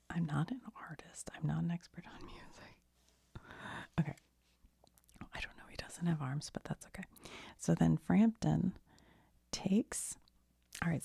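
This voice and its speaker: A woman speaks very softly in a low, heavily creaky voice with a breathy, husky edge. She rambles conversationally like an ASMR host, haltingly and with self-deprecating asides. The sound is close and dry. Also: no echo. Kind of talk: soft-spoken ASMR, rambling casual explanation.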